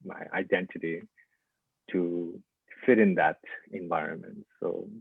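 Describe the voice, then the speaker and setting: A man from Nepal walks through his artwork in a slow, halting way, pausing on "uh" and "like". His voice sits very low, with a gravelly and husky grain. The sound is thin and boxed in, like a dry phone line. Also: steady tone, no echo. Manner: halting, full of "uh" and "like" fillers. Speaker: artist presenting his work. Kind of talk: informal lecture with slides. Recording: tinny, dry phone-like audio.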